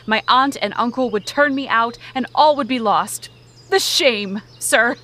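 A woman's voice: a little high, a little slow, sharp tone. A young woman playing a demure Puritan maiden in a comedic audio play, prim, polite and evasive. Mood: flustered. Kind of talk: comedic audio theater acting.

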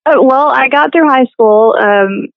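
A woman in her thirties as a podcast guest, talking hesitantly with many pauses and fillers. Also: high voice, gravelly, dry recording, highs cut off. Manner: hesitant, many ums and uhs. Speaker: guest, singer and actress. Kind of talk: casual interview chat.

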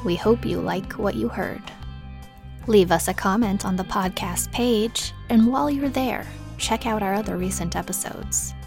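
A woman's voice: medium pitch, unhurried, vocal fry, darkish tone. A woman hosting a podcast, reading a scripted announcement to listeners. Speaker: podcast host. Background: guitar music.

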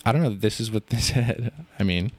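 A man co-hosting a comedy podcast, talking in a deadpan, rambling way full of fillers. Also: fairly low pitch, a little fast, no echo. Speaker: podcast co-host. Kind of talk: improvised comedy banter.

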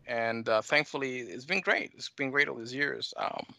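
A middle-aged man with a mixed Dominican and American accent, answering interview questions in halting, conversational phrases. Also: mid-range voice, gravelly, bright, forceful tone, dry recording, clean studio sound. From United States and Dominican Republic. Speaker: talk show guest, flight sim scenery developer.

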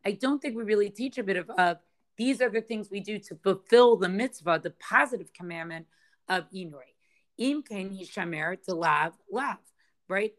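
A woman's voice: medium pitch, fairly bright tone. A woman teaching a study group through a text, thinking aloud with frequent checks and restarts. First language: English. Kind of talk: explaining a text passage.

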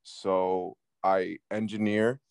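A man from Peru who grew up partly in New York, giving casual interview answers as a music artist, haltingly and with repeated words. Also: low voice, little pitch movement, vocal fry, smooth, no echo, clean studio sound. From Peru, moved to the US at six, based in New York City. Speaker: guest, up-and-coming Latin music artist.

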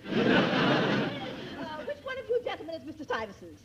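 An actress playing an eager, inquisitive young newspaper reporter in a 1940s radio comedy. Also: female voice, extremely high pitch, wildly swooping pitch, a little fast, over a noisy crowd, heavily acted. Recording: very noisy 1940s radio, loud audience.

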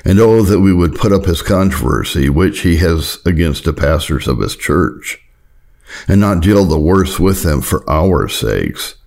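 A man reading an old sermon aloud as an audiobook narrator, in a solemn, earnest, measured and formal way. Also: deep voice, creaky, darkish tone. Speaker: audiobook narrator of Puritan writings.

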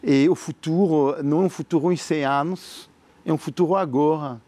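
A man with a French accent speaks Portuguese as a second language, earnest and visionary in his answers. His voice sits a bit high for a man and has a soft, mellow tone. He stumbles often, filling gaps and repeating small words as he builds his point. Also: normal speed, no echo. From France. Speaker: entrepreneur. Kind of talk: interview answers.

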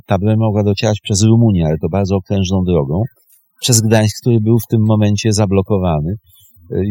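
A man's voice: lowish voice, warm tone, dry recording. A man speaking off the cuff as a guest historian, explaining events. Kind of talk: unscripted explanatory interview talk.

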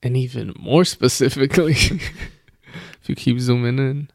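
A man's voice: medium pitch, steady tone, moderate pace, creaky, a little mellow, no room sound, studio quality. A man chatting casually as a podcast co-host, laid-back and slangy, laughing often. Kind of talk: casual chat. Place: Los Angeles.

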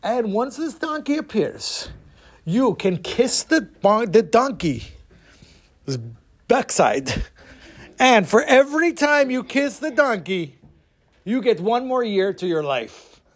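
Man putting on a menacing, drawn-out angel of death. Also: very high-pitched, very animated, voice pushed up, heavily acted. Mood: commanding. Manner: slow, drawn-out, commanding threats. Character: fake angel of death, a vengeful girl in ghostly disguise, menacing.

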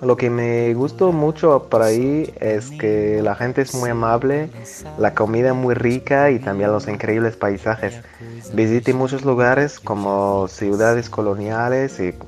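A man's voice: lowish voice, fairly even intonation, darkish tone, faint music behind. Young man speaking carefully. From Morocco. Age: 20s. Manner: careful, slightly halting non-native phrasing.

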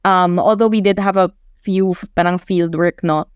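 A woman's voice: lowish voice, fairly even intonation, moderate pace, clean tone, dry recording. A woman in her twenties with a Filipino accent, answering interview questions as a journalist in a reflective, conversational way, sometimes mixing Tagalog into English. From Philippines. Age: 20s.

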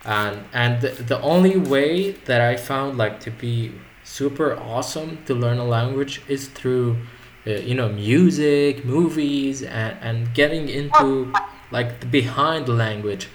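Casual male guest, native Arabic speaker, mid-pitched, thinks aloud with lots of restarts, faint noise underneath. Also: unhurried. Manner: rambling, reflective, many restarts. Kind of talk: casual conversation.

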